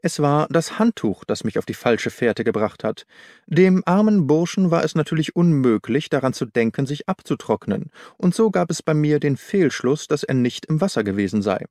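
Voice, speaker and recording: A man voicing an astute, self-assured gentleman detective in an audiobook, precise and composed as he explains. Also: fairly high pitch, very animated, normal speed, dry recording, studio quality. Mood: composed, explanatory. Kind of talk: audiobook character dialogue.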